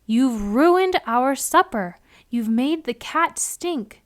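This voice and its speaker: A woman's voice: sits high, lively intonation, takes it slowly. A woman voicing a frazzled storybook parent, scolding a child with firm, clipped commands. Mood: exasperated, scolding.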